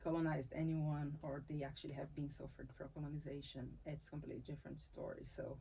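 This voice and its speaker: A woman with a Brazilian accent speaks in a low, gravelly voice with a warm, mellow tone. She talks thoughtfully in an interview, restarting phrases as she goes and laughing easily. A faint hum of room noise sits under her. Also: level tone, fast, low-quality recording. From Salvador, Bahia, Brazil. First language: Portuguese. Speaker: dancer and capoeira instructor, interview guest. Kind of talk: conversational interview.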